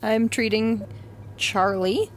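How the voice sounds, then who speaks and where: Higher-voiced woman, playful. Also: fairly even intonation, takes it slowly, soft background music. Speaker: player in a tabletop game. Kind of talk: casual playful banter.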